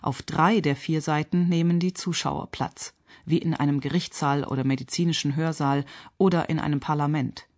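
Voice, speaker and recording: A woman radio critic reading a scripted theatre review in an articulate, measured and pointed manner. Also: sits low, fairly even intonation, darkish tone.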